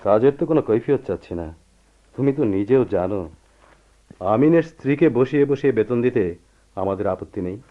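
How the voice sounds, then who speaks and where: An actor playing a paternal, coaxing office boss in a radio play, earnest and persuasive, on a tinny recording. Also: male voice, mid-range voice, expressive, fast talker, long pauses, lightly acted. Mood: kindly. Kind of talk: measured radio play acting. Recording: tinny narrow-band radio drama recording.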